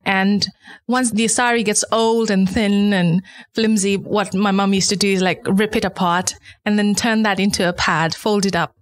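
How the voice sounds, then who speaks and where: A woman's voice: mid-range voice, fairly even intonation, normal speed. Nepali accent woman, podcast guest explaining stuff, outspoken and chatty. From eastern Nepal. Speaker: interview guest, company founder. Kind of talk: conversational interview answers.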